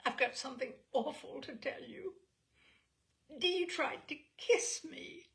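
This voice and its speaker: A woman's voice: sits high, takes it slowly, voice pushed up. A woman voicing a naive, dramatic eighteen-year-old society girl in a memoir reading, with earnest exclamations. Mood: earnest, confiding.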